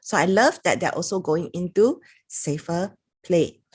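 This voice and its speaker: Singaporean-accented woman, lower register, relaxed talky reviewer. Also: measured pace, husky. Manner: chatty, reads passages aloud with little laughs. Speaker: product reviewer. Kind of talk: casual talk-to-camera review.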